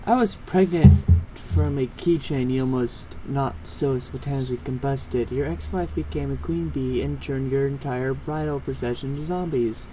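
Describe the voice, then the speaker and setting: A man's voice: medium pitch, moderate pace. A man reading fan fiction aloud, narrating and voicing dialogue, sometimes stumbling over long words. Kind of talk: scripted story narration read aloud, with character dialogue. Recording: amateur recording with background noise.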